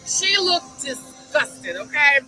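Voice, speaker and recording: A woman casually recapping a reality show from home, chatty and animated, acting out the gasps of the people she describes. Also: sits high, slow pace, faint music behind. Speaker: reaction vlogger. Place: at home, street outside audible.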